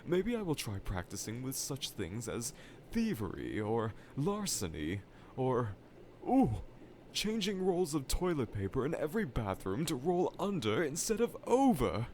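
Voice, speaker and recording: A man's voice: medium pitch, huge pitch swings, a little slow, darkish tone, slight background noise. A man voicing a vain, needy middle-aged evil scientist with pompous, formal, melodramatic diction in a fan audio roleplay. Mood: gleeful, invigorated. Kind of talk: fan audio roleplay monologue addressed to listener. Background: laboratory ambience.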